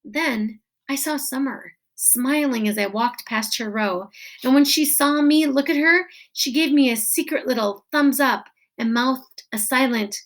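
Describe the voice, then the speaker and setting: A woman reads a children's novel aloud like a teacher to her class. Her voice sits fairly high and she takes her time, very slowly, pausing with weight and expression. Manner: deliberate, expressive, with weighted pauses. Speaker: teacher reading a book aloud.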